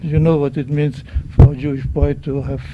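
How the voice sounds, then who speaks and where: A man in his nineties, a native German speaker, nervously giving personal testimony at a microphone in halting English. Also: on the high side, level tone, muffled recording, background noise. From Czechoslovakia. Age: 90s. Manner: halting, searching for words, many restarts. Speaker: guest speaker at a public event.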